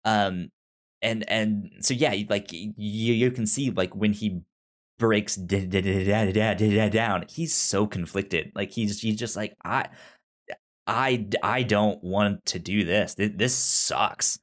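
A man co-hosting a casual film discussion, thoughtful and halting, often stuttering and repeating syllables. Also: on the low side, steady tone. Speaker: podcast co-host.

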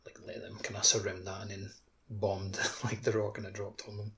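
A man on a podcast chatting casually, with halting, loose phrasing full of filler words. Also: lowish voice, monotone, fairly bright tone. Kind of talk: casual chat.

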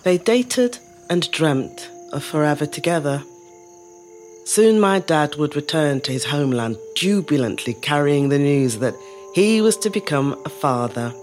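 Low-voiced, reflective woman telling her story. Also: animated, darkish tone. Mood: tender, reflective. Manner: measured, lyrical, deliberate phrasing. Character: reflective middle-aged Zimbabwean-born woman telling her family's story. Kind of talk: scripted first-person storytelling monologue. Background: music.

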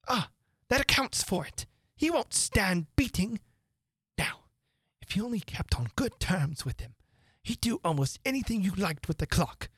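A woman's voice: medium pitch, big pitch swings, fairly bright tone, breathy edge, no echo, studio quality, voice pushed up, exaggerated voice. A woman doing a fussy, mournful male hatter. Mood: whimsical. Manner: theatrical, rapid swings from chatter to gloom.

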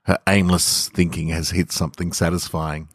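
A man's voice: sits low, little pitch movement, normal speed, husky, no echo. An Australian man hosting a storytelling podcast, speaking close to the microphone. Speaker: podcast host and author reading own stories. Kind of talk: casual intro and measured literary story reading. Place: garage.

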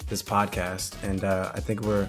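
A man's voice: sits low, level tone, moderate pace. Co-host, man, sincere and earnest, music audible behind. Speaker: podcast co-host. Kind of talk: casual podcast chat.

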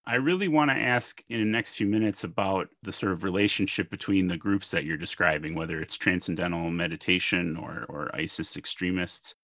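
A man from Minnesota hosting a podcast, measured as he reads a formal guest introduction and then asks questions. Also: low voice, a bit bright, no echo, studio quality. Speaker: podcast host.

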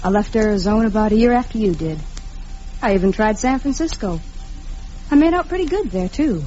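Woman acting a coy, worldly frontier drifter, soft dark tone, radio western, muffled old recording. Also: mid-range voice, expressive, fairly quick, faint music behind, lightly acted. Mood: brittle, sweet. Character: hard-bitten, coy, provocative drifter saloon girl. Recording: dull, noisy vintage radio recording.

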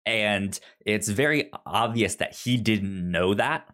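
A man's voice: fairly low pitch, slow, fairly bright tone. A man co-hosting a podcast in a casual book-chat style, often stammering and repeating syllables and words. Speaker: podcast co-host.

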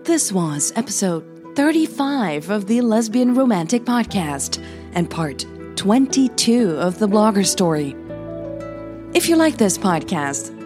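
A woman podcast host telling a story in a slow, suspenseful, intimate manner. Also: mid-range voice. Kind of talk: dramatic audio story narration and podcast chat.

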